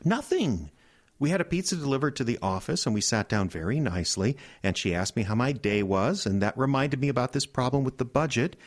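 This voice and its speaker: A man's voice: medium pitch, expressive, fairly quick, close to an everyday voice. A man playing a well-meaning, clueless boss in a comedic audio drama, hesitant and stammering. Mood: sheepish. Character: well-meaning, bewildered, socially clueless male radio-show boss.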